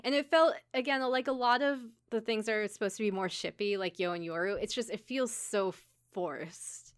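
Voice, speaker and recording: A woman's voice: mid-range voice, normal speed, clear, resonant tone, no room sound. A woman podcast host giving a casual, chatty recap, informally acting out bits of dialogue with wry commentary. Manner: informal, acts out characters' lines in passing.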